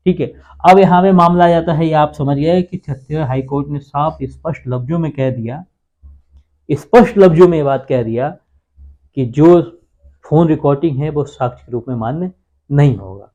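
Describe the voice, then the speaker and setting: A man's voice: on the high side, warm tone. A man giving an informal explainer on the law to viewers, asking questions and answering them himself, repeating key points. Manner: repetitive, asks and answers his own questions. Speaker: legal explainer on a channel. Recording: dull lo-fi sound.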